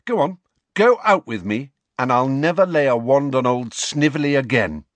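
A man's voice: medium pitch, expressive, moderate pace, no echo, voice pushed up, close to an everyday voice. A man voicing a cocky, hot-headed teenage schoolboy in a storybook, with a brash, emphatic delivery.

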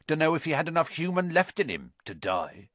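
Man voicing a blunt, gruff rustic fellow. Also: on the high side, very animated, a little fast, fairly bright tone, no echo, voice pushed up. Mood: dismissive. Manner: blunt, folksy. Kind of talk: audiobook character dialogue.

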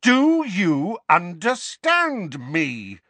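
A man's voice: mid-range voice, huge pitch swings, moderate pace, dry recording, pitched above the performer's own voice. Man playing sarcastic, swooping teenage boy wizard. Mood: cold anger. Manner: taunting, sardonic. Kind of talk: acted audiobook dialogue.

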